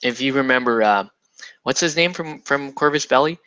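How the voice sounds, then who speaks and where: A chatty man hosting a model-building show, with a bright, forceful tone. He rambles and jokes along, full of "uh" and "like," and the sound is dry and close, with no room echo. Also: medium pitch, moderate pace, clean tone. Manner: rambling and jokey, with lots of fillers; breaks into laughter and puts on silly voices. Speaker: miniatures hobby host. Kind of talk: casual chat while building models.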